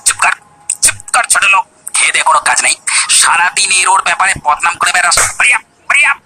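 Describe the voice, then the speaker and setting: A man playing a scornful, bullying village man who threatens and scolds in an animated story. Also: sits high, quick pace, slight background noise, full character voice. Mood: angry, scornful. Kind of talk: Bengali animated story dialogue.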